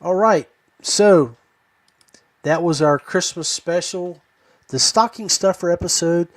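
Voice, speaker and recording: A man with a somewhat high voice hosts a casual podcast. The recording is close and dry, and he talks in a conversational way. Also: takes it slowly, dry recording. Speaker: podcast host. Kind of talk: casual podcast chat and sign-off.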